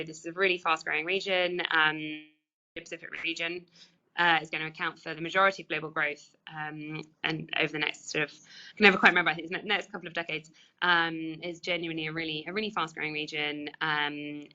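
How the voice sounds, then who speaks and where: Woman doing a webinar talk, lowish voice, talks fast, chatty and hesitant, bit muffled lo-fi audio. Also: sharp tone. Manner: hesitant, conversational, hedging with kind of. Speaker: trade official presenting. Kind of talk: webinar presentation, informal explanatory. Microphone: online webinar call.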